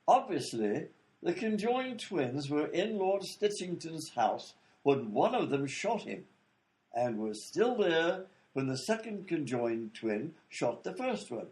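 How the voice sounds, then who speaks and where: A man's voice: fairly high pitch, sing-song, slow, a little mellow, rough recording. A man playing an eccentric, long-winded male inventor in a comedy radio play, explaining things fussily with lots of "you see". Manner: explains at length with fussy fillers like "you see".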